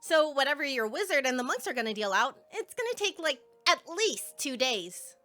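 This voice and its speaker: A perky young village girl for a cartoon fan dub, acted by a woman, with a very high, bright voice. She is bubbly and slangy, swinging freely in pitch with playful, feisty eagerness. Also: big pitch swings, normal speed, heavily acted. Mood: playful teasing. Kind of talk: animated fan dub acting.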